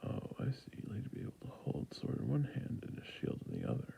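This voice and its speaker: A man playing a friendly, humble fantasy merchant in a soft-spoken, soothing ASMR roleplay, with a breathy, gravelly murmur. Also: medium pitch, huge pitch swings, fast talker, slight background noise, exaggerated voice. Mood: patient, attentive. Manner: soft-spoken, half-whispered, soothing shop patter. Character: friendly humble male fantasy shopkeeper, obliging merchant and fletcher.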